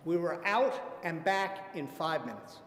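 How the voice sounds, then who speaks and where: A man telling a personal anecdote at a theater event, warmly amused and lingering on the punchlines. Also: a little high, animated, unhurried, slight background noise. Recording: echoey hall, faint ambience.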